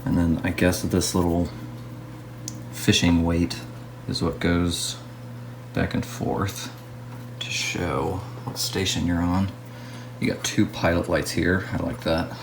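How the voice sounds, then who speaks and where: A man narrating a casual hands-on restoration video as a hobbyist, musing aloud to himself in an unhurried way. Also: deep voice, flat delivery, vocal fry, background noise.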